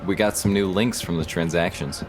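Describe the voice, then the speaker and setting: An actor playing a terse, understated police detective in audio drama dialogue. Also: male voice, deep voice, fairly even intonation, brisk, over music, close to an everyday voice. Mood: businesslike. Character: male police detective working a murder case.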